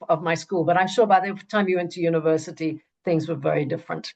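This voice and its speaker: A woman from Zambia hosting an online webinar, enthusiastic but halting, with many restarts. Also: lowish voice, fairly even intonation, vocal fry, darkish tone, muffled recording. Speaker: webinar host.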